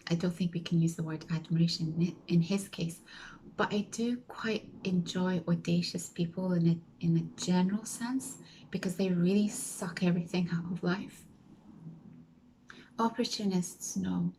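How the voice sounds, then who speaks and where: A woman's voice: lowish voice, mellow, low-quality recording. A woman vlogger chatting casually to her viewers, telling a story with frequent self-corrections and stretches that drop to a whisper.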